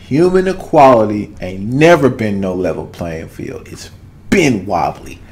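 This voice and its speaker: A man's voice: fairly low pitch, very animated, a little slow, darkish tone. A man in his thirties from Arkansas with a Southern US accent, giving casual, emphatic commentary as a reaction video host and repeating phrases for weight. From Arkansas, United States. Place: small home room with cloth-draped backdrop. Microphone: desk condenser microphone, headphones on.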